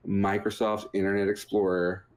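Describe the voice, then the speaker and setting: A man's voice: fairly low pitch, level tone, moderate pace. A man co-hosting a gaming show in casual, playful, joking chat. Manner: jokey, playful.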